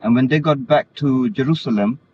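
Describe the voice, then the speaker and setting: A man's voice: medium pitch, fairly quick, a little mellow. Muffled, noisy recording of a man from Denmark. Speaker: interview guest. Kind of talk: earnest religious conversation. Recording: muffled lo-fi audio, clear background noise.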